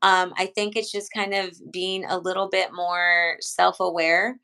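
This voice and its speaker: A woman from San Antonio, a coach, answers questions in a bright, smooth voice that stays fairly flat and low. She talks earnestly and loosely, with plenty of ums and restarts. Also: unhurried. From San Antonio, Texas. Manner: earnest, rambling with many fillers and restarts. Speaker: head coach, interview guest. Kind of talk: casual interview answers.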